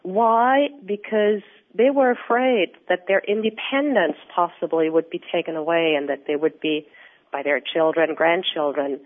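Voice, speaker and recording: A woman from Austria with an Austrian accent, a researcher answering interview questions in an earnest, explanatory, measured way. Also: lowish voice. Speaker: researcher and guest. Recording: tinny phone-line audio.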